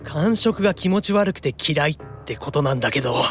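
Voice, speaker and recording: A man's voice: a little high, brisk, soft background music, lightly acted. An actor playing a whiny, easily panicked young man in an anime-style audio drama. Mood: wary, grumbling. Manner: animated, stammering exclamations when unnerved.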